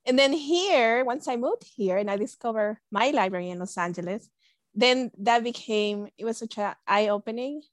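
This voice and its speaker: A woman's voice: medium pitch, dry recording. A woman from El Salvador, a librarian guest telling her story in an earnest, conversational way.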